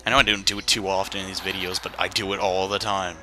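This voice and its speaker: A man's voice: deep voice, flat delivery, fast, bright, forceful tone, rough recording. A young man giving casual, rambling commentary while playing a video game, chatty and self-deprecating with plenty of fillers. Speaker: let's play commentator. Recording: game music behind voice.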